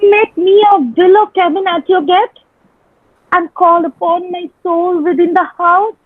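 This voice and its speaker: A woman playing an ardent young gentleman messenger in a Shakespearean dramatic reading, declaiming in a drawn-out, courtly manner. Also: very high-pitched, no echo. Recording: thin phone-like band, dry sound.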